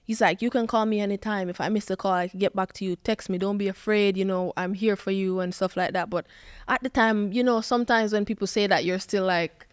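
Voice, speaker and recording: Woman, Jamaican accent, 20s, animated storyteller, dry studio sound. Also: mid-range voice, brisk, clean tone, no room sound. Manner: animated storytelling, acts out quoted lines. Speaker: footballer guest. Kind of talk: podcast interview.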